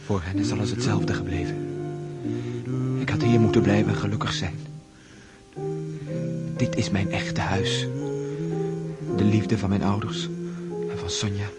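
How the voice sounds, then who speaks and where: An actor in a radio drama playing a troubled young officer, earnest and ashamed, who speaks haltingly and repeats his words. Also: fairly low pitch, steady tone, loud music behind the voice, lightly acted. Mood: troubled, brooding.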